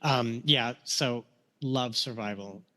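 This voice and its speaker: A man's voice: medium pitch, takes it slowly, pushed and bright. A man chatting casually as a panelist on a podcast. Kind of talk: casual chat.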